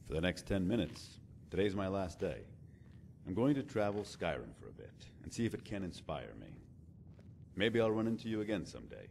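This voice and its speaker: Man playing a very deep, gravelly baritone bard. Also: a little mellow, slight background noise, full character voice. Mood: reflective. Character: genial, reflective baritone bard, drummer turned poet. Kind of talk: scripted fantasy game dialogue.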